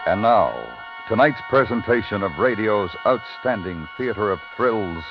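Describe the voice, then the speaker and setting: A man announcing in formal, measured, dramatic 1950s radio style, reading from a script. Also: lowish voice, animated. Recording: dull vintage radio, music behind.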